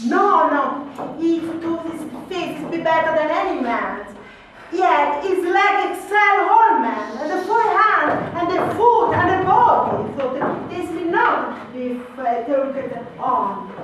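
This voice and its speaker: A woman's voice: very high-pitched, very animated, a crowd in the background, exaggerated voice. A woman acting a tired, complaining old nurse who rambles and dodges questions, in an amateur stage performance. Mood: evasive. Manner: drawn-out, grumbling, evasive. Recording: echoey, distant, noisy room.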